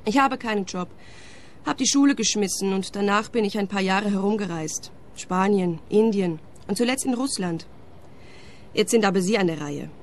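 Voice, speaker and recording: A woman's voice: medium pitch, moderate pace, slight background noise, natural voice. A young woman playing a cheeky squatter. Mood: teasing. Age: young adult.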